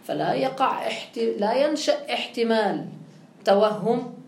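A woman's voice: a little high, expressive, measured pace, creaky, slight background noise. A woman teaching grammar with a touch, explaining step by step and posing her own questions. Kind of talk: classical grammar lesson. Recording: echoey room with faint ambience.

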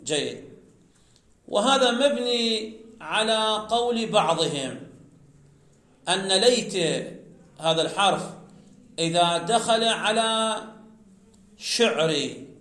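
Slow, high-voiced male grammar teacher. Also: expressive, a bit bright, reverberant. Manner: slow, explanatory, asks the class questions then answers them. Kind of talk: classroom lecture.

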